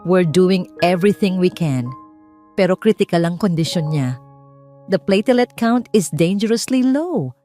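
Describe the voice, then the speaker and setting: Woman playing a grave, gentle hospital doctor. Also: fairly low pitch, faint music behind. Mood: grave, regretful. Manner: calm, measured, mixing English and Tagalog. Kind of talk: audio drama dialogue.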